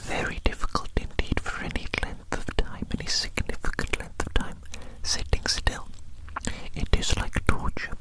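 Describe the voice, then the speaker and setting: A man whispering without voice in a gentle, soothing ASMR roleplay, softly repeating words and phrases. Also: moderate pace, rough recording. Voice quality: unvoiced whisper.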